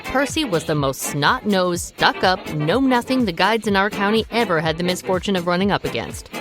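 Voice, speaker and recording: A woman's voice: on the low side, lively intonation, normal speed, natural voice. A woman playing a wry Midwestern rum runner. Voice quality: wry, conversational storyteller. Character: folksy female rum runner narrating a yarn. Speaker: narrator in character. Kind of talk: 1920s radio drama narration. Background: music.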